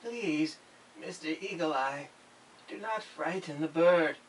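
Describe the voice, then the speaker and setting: A high, slow-spoken male worker played by a man, anxious and very polite. He pleads formally in an audio drama, heard off-mic with faint hiss. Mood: anxious pleading. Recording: distant, hissy, faintly noisy mic.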